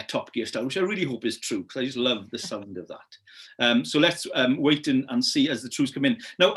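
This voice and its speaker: A man with a Welsh accent hosting a casual interview, encouraging and full of praise, with many hesitations. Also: a little high. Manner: warm and encouraging, many "um"s, says "brilliant" a lot. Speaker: host interviewer.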